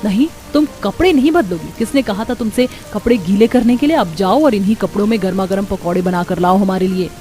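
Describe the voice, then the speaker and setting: A woman's voice: mid-range voice, fairly quick, few pauses, warm tone, faint music behind, pitched below the performer's own voice, lightly acted. A woman voicing a domineering, spiteful elder sister-in-law, haughty and taunting, in a cartoon. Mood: bossy.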